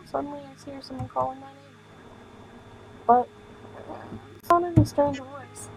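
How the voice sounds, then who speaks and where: A woman with a fairly high voice reads story text from a game for her stream. She stumbles and rereads in a halting way, dropping in casual commentary, with music clearly playing behind her. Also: steady tone, rough recording. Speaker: streamer playing a visual novel.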